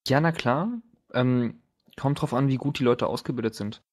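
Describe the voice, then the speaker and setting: A man's voice: mid-range voice, moderate pace, slightly rough. A man talking casually and colloquially as a tabletop game master.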